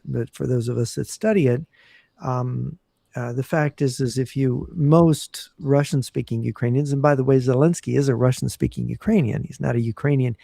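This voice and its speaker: Man, gravelly, dark voice, explanatory, lots of ums and restarts, dry close mic. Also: mid-range voice, dry recording. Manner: measured, explanatory, many fillers and restarts. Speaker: guest analyst. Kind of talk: casual podcast interview.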